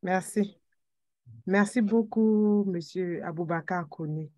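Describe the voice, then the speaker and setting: A woman's voice: lowish voice, slow, dark tone, dry recording. A woman moderating a webinar interview, thanking guests and asking questions in a polite, formal way.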